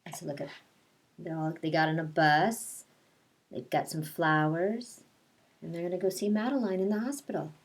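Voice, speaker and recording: A woman's voice: lowish voice, moderate pace. A woman teacher doing story time for kindergarteners, reading aloud and explaining things simply to young children. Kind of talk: picture-book read-aloud with casual asides to children.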